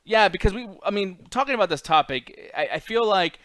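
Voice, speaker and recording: A man's voice: sits high, lively intonation, brisk, fairly bright tone, no echo. A man hosting a casual chat show, rambling and swearing as he argues. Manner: rambling, profane, argumentative. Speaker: show host. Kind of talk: casual chat, bantering argument.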